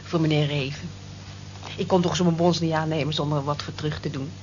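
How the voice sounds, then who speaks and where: An actress playing a modest married night cleaner, hesitant and earnest, in a Dutch radio drama. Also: female voice, sits low, steady tone, fast talker, darkish tone, lightly acted. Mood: defensive, plain. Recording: dull lo-fi old radio recording, faint noise.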